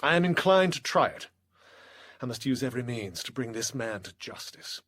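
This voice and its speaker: An actor playing an authoritative, determined gentleman investigator, deliberate and grave, in a period audio drama. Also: mid-range voice, sing-song. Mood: grave resolve.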